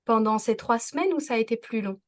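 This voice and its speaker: A woman podcast host interviewing a guest with gentle, reflective questions. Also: on the high side, animated, quick pace. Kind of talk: interviewing conversation.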